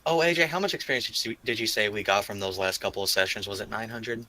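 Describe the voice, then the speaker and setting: A man's voice: fairly low pitch, quick pace, bright, forceful tone. A man playing a tabletop game, chatting casually and thinking aloud as he goes.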